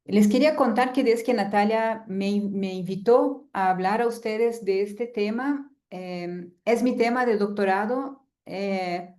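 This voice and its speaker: A woman's voice: mid-range voice, a little slow, hardly any pauses, darkish tone. Woman lecturing online. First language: Portuguese. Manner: chatty, often adds a tag "¿no?". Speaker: guest lecturer. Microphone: video call.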